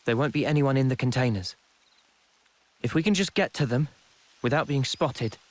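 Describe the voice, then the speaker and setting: A man playing an earnest, resolute young squad leader in a video game, measured and thoughtful in delivery. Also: mid-range voice, no room sound, natural voice. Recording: music behind.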